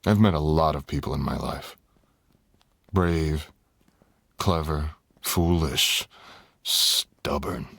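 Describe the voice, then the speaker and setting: A man acts out a brooding dragon lord in an intimate fantasy audio roleplay. His voice is extremely low and full of gravel, and he speaks slowly and deliberately. He sounds tender and seductive, with formal, storybook phrasing. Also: fairly bright tone, no echo, heavily acted. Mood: earnest, tender. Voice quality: very deep, gravelly, husky, often breathy.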